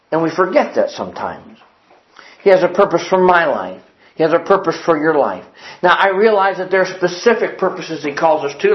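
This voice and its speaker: Man, preacher, sermon, earnest and emphatic, repeated phrases, fairly high voice, echoey room. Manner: quotes old-fashioned scripture wording. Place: church building. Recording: echoey, dull lo-fi sound.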